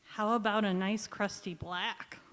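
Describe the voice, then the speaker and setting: A woman's voice: medium pitch, fairly even intonation, unhurried, a bit bright, natural voice. A woman playing a supportive, wry housewife friend in old-time radio sitcom style, coaxing and bossy. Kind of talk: golden-age radio sitcom acting on stage. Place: large indoor hall, live stage performance. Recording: echoey hall, community theatre stage.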